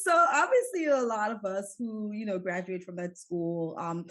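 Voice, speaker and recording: A middle-aged woman from Jamaica, a physician guest telling her story in a relaxed, conversational way with frequent fillers. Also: medium pitch, normal speed. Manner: warm storytelling with laughs and many fillers.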